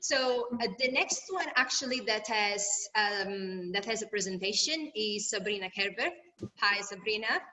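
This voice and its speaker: A woman's voice: mid-range voice, expressive, a little slow, bright, forceful tone. A woman moderating a webinar panel, gracious and thankful as she introduces the speakers. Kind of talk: webinar hosting. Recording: long reverb.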